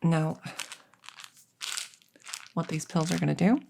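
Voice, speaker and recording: A woman playing a kindly, rambling vet in an ASMR audio roleplay, explaining things softly and hesitantly with lots of reassurance. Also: low voice, steady tone, takes it slowly, gravelly, background noise, exaggerated voice. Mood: gentle, clinical. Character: kindly, rambling female vet for supernatural patients. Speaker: voice roleplay performer.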